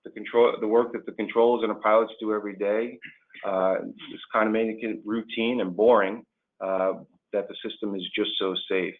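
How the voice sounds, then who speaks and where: A man's voice: lowish voice, steady tone, a little fast. A man speaking earnestly as a union leader guest on a policy webinar, repeating phrases for emphasis, over a tinny phone-like line. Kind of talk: prepared remarks and answers on a policy webinar.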